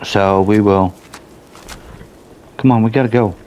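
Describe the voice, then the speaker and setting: Male gamer, lowish register, relaxed casual play-along commentary, some background noise. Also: measured pace, muffled recording. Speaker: gameplay streamer reviewing game mods.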